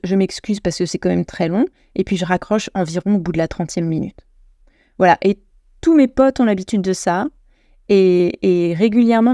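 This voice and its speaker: A woman's voice: mid-range voice, warm tone, dry recording. Chatty French woman, dry close sound. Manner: chatty, self-deprecating, many restarts and self-corrections. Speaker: podcast host. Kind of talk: solo podcast monologue.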